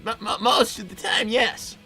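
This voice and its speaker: A woman voicing a meek, awkward young boy fox from a cartoon, with a hesitant, defensive manner and a raspy boyish voice. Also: a little high, steady tone, measured pace, pushed and bright, soft background music. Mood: hesitant, deadpan. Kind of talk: improvised in-character gaming livestream banter.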